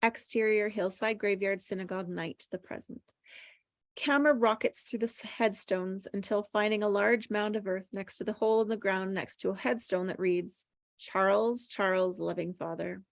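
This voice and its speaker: A woman's voice: mid-range voice, fairly even intonation, moderate pace, no echo, clean studio sound. A woman reading screenplay stage directions as plain, descriptive narration at a table read.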